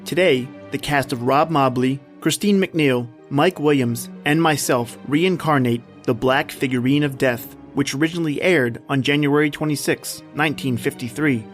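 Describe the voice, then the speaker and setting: A man's voice: mid-range voice, moderate pace, hardly any pauses. Male horror podcast host announcing over music. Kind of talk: scripted horror podcast introduction.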